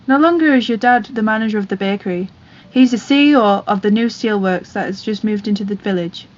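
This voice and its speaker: A woman's voice: mid-range voice, a little fast, muffled recording, close to an everyday voice. A woman playing a bitter, sceptical middle-aged village mother in an audio drama, curt and sarcastic.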